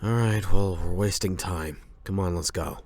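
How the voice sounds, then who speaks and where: A man playing a gruff, impatient teenage delinquent with a hidden caring side, brusque and defensive in an anime roleplay audio. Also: sits low, normal speed, a little mellow, dry recording. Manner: brusque, exasperated, defensive, with sighs.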